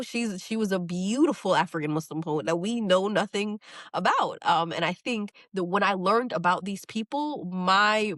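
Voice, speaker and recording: A woman's voice: fairly low pitch, a bit bright, dry recording. Earnest New woman, dry sound. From New York. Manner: thoughtful, earnest, frequent restarts and repeated words. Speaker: poet, interview guest. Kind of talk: reflective interview conversation.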